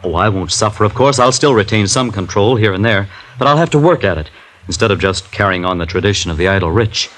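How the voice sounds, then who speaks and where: Radio drama rich son: young, proud, earnest, formal diction, lowish voice. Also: male voice, animated, quick pace, a bit bright, poor audio. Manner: formal, stiffly articulate. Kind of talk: old-time radio drama acting.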